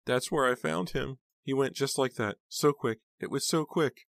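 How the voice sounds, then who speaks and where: A man's voice: on the low side, a little slow, no echo, pitched above the performer's own voice, natural voice. A man voicing a frail older aunt in a storybook, newly widowed, tearful and rambling in her grief. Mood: shaken, grieving. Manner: tearful, rambling, repeating herself.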